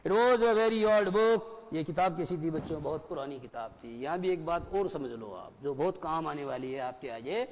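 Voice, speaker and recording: A man's voice: fairly high pitch, expressive, normal speed, hardly any pauses, darkish tone, a little hiss or hum. A man in his forties teaching a class, who explains step by step and keeps asking the students questions. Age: 40s. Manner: mixes Hindi and English. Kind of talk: classroom lecture. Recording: dull broadcast audio with long reverb.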